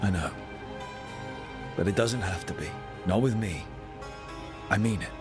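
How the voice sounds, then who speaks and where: A man plays a sincere hero from a fantasy game, offering reassurance in a gentle, fairly low voice. Orchestral music is clearly heard behind the lines. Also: measured pace, natural voice. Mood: tender, reassuring. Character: earnest, reassuring male fantasy adventurer. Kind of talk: video game cutscene acting.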